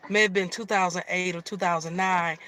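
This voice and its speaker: A middle-aged woman from Michigan with a slightly raspy voice, chatting casually on a live stream and often restarting her sentences. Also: lowish voice, steady tone, fairly quick, a bit bright, a little hiss or hum. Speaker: vlogger.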